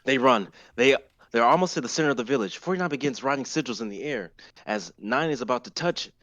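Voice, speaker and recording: A man's voice: medium pitch, expressive, fast, fairly bright tone, highs cut off. A young man narrating stage directions in a live amateur script reading. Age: young adult.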